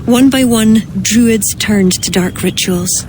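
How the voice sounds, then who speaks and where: A woman playing an earnest, sorrowful druid in a video game cutscene, speaking in measured, formal phrases. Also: on the high side. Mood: regretful, confessional. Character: earnest, sorrowful female druid, former cult member. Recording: game ambience noise behind voice.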